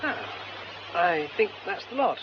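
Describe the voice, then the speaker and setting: A young, musing British man of the theatre in a radio play. His voice is high for a man and very animated, with faint hiss on the recording. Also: slow pace, dull audio, full character voice. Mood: musing, wry. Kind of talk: radio drama acting.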